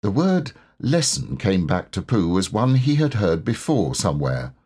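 A man's voice: lowish voice, big pitch swings, unhurried, darkish tone, breathy edge. A man reading a children's storybook aloud as an audiobook narrator.

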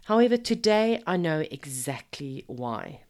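A woman in her fifties who is hoarse from a cold, hosting a podcast in a chatty, encouraging way that speaks to the listener as a friend. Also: deep voice, very animated, unhurried, darkish tone. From Zimbabwe. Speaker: podcast host. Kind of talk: casual motivational podcast monologue.

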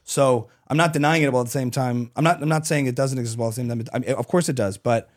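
A man in an opinionated, animated conversation, arguing emphatically and piling clause upon clause. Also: mid-range voice, very fast. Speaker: podcast guest.

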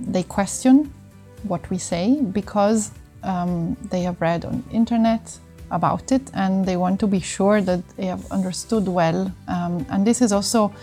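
Mellow-voiced Italian woman explaining things. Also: medium pitch. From northern Italy. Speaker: interview guest, sports medicine doctor. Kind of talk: conversational interview answers.

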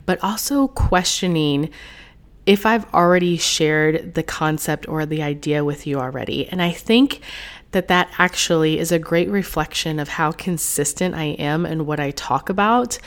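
Woman, podcast host and coach, stuffy from a cold, lower voice, chatty and encouraging. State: congested from a cold. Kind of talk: solo podcast talk.

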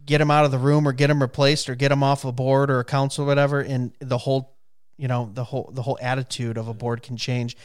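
Smooth-voiced man in his thirties hosting. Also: mid-range voice, quick pace, hardly any pauses. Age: 30s. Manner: animated, laughs and exclaims when a guest scores. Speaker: podcast host. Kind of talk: casual chat, running a music quiz.

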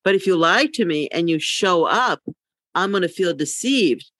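A woman's voice: fairly low pitch, lively intonation, normal speed, fairly bright tone, dry recording. A woman podcast co-host chatting casually in a joking, teasing manner. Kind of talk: casual chat about online dating.

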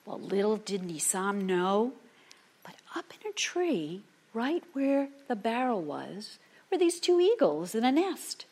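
A woman telling a folktale aloud in a dramatic manner, sometimes hushed for suspense. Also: medium pitch, big pitch swings, measured pace, breathy edge. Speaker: storyteller. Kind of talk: oral folktale storytelling to an audience.

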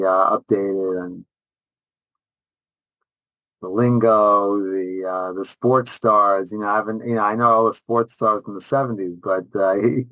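A man's voice: on the low side, fairly bright tone, no echo, poor audio. A middle-aged man, a rabbi and teacher answering interview questions conversationally, enthusiastic and emphatic, repeating phrases and often restarting.

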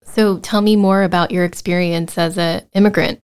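A woman's voice: lowish voice, steady tone, normal speed, a little mellow, smooth. A woman hosting a career podcast, reading an upbeat scripted intro and then asking casual interview questions. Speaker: podcast host.

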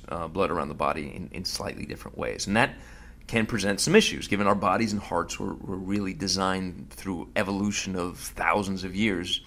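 A man's voice: deep voice, fairly bright tone. A man hosting a podcast, narrating his visit in a casual, conversational way with fillers and restarts. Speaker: host.

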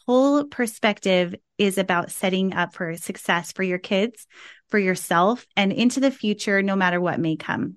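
A woman hosting a podcast interview, upbeat and encouraging as she introduces her guest. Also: mid-range voice. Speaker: podcast host.

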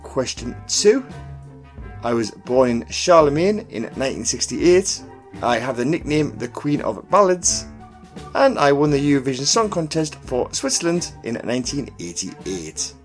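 A man hosting a quiz podcast, reading out scripted questions and clues in a deliberate announcing manner. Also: mid-range voice, sing-song. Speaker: pub quiz podcast host. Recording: music bed under the voice. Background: background music.

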